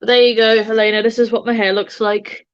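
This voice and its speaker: A woman's voice: on the high side, little pitch movement, a little fast, clear, resonant tone, dry recording, highs cut off. A woman joining a live stream by video call, chatting in an upbeat way about her outfit and hair. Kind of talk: casual chat.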